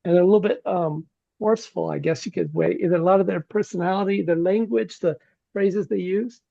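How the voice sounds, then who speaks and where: Give me a man from California with a gravelly, low-toned voice, telling meandering anecdotes in a video-call chat. His sound is dry and muffled, like a lo-fi mic in a dead room. He often restarts his sentences. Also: steady tone, brisk, mellow, no echo. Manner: rambling, anecdotal, many restarts. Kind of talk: casual group discussion on video call.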